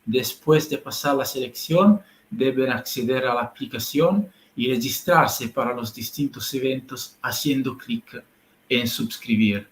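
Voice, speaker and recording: A man with an Italian accent hosting a live online meeting, talking in a hesitant, halting way and switching between Spanish and English. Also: mid-range voice, echoey room, slight background noise. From Italy. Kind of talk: livestream briefing.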